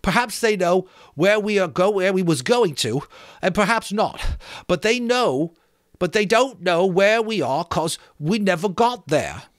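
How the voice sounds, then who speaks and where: A man's voice: high-pitched, animated, unhurried, no echo, studio quality, voice pushed up. A man reading a novel aloud, playing an anxious, indignant schoolboy know-it-all with a pointed, scolding manner. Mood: anxious, insistent. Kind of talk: amateur dramatic reading of a novel.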